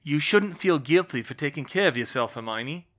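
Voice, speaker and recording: Brief: narrator reading a caring young wizard, soft and calm, with a lively pitch. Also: normal speed, lightly acted. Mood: concerned. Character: young wizard, worried and gentle. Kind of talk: audiobook reading of fan fiction. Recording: slightly muffled recording.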